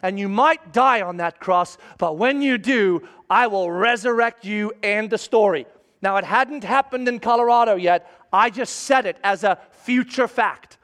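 A man's voice: high voice, unhurried, a bit bright. A middle-aged man, a pastor, giving an emphatic sermon and telling stories to his congregation. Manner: emphatic, storytelling preaching.

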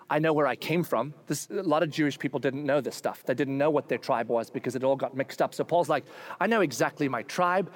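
Animated pastor preaching with playful humor. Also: male voice, a little high. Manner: animated, voices quoted figures with playful humor. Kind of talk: sermon.

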